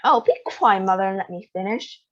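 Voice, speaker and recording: A woman's voice: mid-range voice, animated, fairly quick, lightly acted. A woman voicing a spoiled, bossy girl in a read-aloud storybook, talking in a breathless, self-absorbed way. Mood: sulky. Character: spoiled gum-chewing girl, bossy and rude. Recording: dull lo-fi recording.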